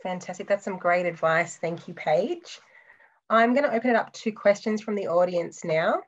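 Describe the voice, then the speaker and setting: A young woman with a fairly low voice moderates a video-call panel, upbeat and brisk between speakers. The audio is a touch dull, typical of a call. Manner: upbeat, reads audience questions aloud. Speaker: host.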